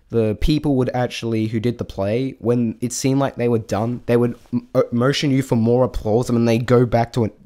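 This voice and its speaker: A man's voice: on the low side, a little mellow. A man giving a casual, unscripted spoken review, rambling and thinking aloud in a self-deprecating way. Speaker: amateur vlog reviewer.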